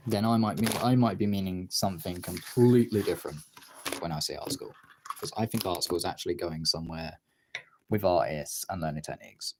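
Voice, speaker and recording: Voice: low voice, a little fast, noisy background. A streamer chatting casually while drawing, rambling and thinking aloud in a self-deprecating way.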